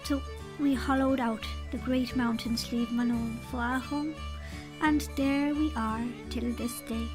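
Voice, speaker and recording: A woman's voice: on the high side, fairly even intonation, unhurried, natural voice. A woman playing a small, wily, proud leprechaun king with a sly, folksy way of talking in an audio drama. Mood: proud, wistful. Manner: folksy, sly, teasing storytelling. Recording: music behind the voice. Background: music.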